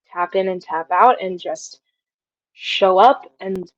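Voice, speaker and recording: A woman's voice: mid-range voice, takes it slowly, dry recording, dull audio. A young woman chatting casually as an interview guest, effusive and given to telling things through quoted asides. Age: young adult.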